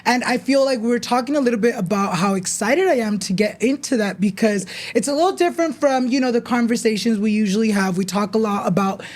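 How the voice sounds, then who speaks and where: A woman's voice: fairly high pitch, fast talker, a little mellow. A woman hosting a podcast, reading an ad and asking her guest encouraging questions. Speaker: podcast host. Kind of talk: casual interview and ad read.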